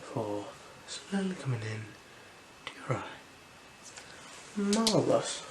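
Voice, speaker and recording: A gentle, unhurried ASMR performer speaking softly and slipping into whispers while role-playing an eye examiner. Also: medium pitch, sing-song, crowd noise. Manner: gentle, unhurried, soothing instructions. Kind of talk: ASMR role-play, soft-spoken with whispers. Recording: clear background noise.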